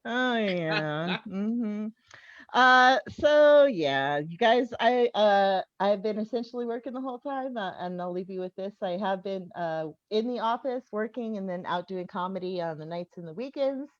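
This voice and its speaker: Woman in her fifties doing animated stand-up. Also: medium pitch, measured pace. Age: 50s. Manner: conversational, often chuckling at own jokes. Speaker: stand-up comedian. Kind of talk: stand-up comedy set to an audience. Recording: dull, echoey live venue recording.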